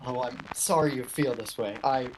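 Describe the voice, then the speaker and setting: A woman acting in a radio play as an awkward, slyly teasing male shop clerk, with a halting, understated delivery. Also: extremely low pitch, background noise. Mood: teasing, mock-sympathetic. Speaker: amateur actor.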